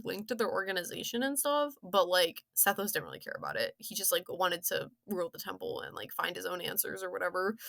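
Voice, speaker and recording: Young female game reviewer, bright forceful tone, playful and slangy, loose rambling commentary. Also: mid-range voice, vocal fry, no echo, clean studio sound. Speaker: video game story reviewer.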